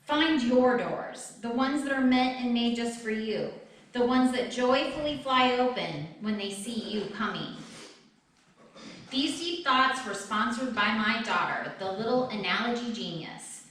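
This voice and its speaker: Echoey, higher-pitched woman reading to an audience. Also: unhurried, a bit bright, a little hiss or hum. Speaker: author reading her essay excerpt aloud. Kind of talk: expressive reading of a personal essay to an audience. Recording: very echoey room, distant microphone.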